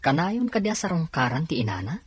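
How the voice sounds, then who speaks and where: A man playing a caring, mildly complaining husband in a conversational audio drama, with a husky voice. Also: fairly high pitch, big pitch swings, fast talker, no room sound. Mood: questioning.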